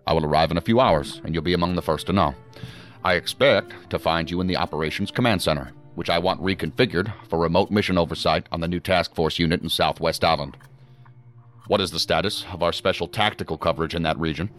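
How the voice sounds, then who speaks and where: A senior federal director in a futuristic radio play. His voice is exceptionally deep, and he talks with measured, formal authority. Light music sits underneath. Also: a bit bright. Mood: commanding, businesslike. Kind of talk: audio drama acting.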